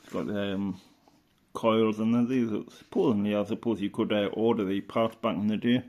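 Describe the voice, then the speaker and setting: A man's voice: lowish voice, steady tone, normal speed, a little mellow. A middle-aged man, talking informally and hesitantly as he thinks aloud through a show-and-tell. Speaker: hobbyist vlogger. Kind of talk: casual rambling show-and-tell commentary.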